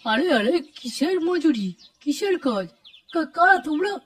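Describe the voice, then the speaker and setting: A woman's voice: high voice, sing-song, normal speed. A woman voicing a sly, dishonest village farmer man in a cartoon, wheedling and stammering in false innocence. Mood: feigned innocence. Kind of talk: cartoon character acting.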